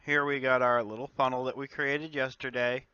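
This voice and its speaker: A man's voice: medium pitch, flat delivery, moderate pace, bright, forceful tone, clear, resonant tone, muffled recording. A man with a slight cold, chatting casually through his game developer diary with lots of filler words. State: stuffed up with a cold. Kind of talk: casual dev diary talk.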